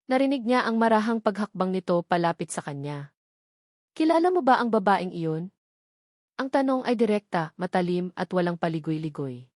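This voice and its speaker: A woman reads a scripted romance drama as its narrator. Her pitch is middling for a woman. The recording is dry, with hardly any room sound. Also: darkish tone, no room sound. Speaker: story narrator.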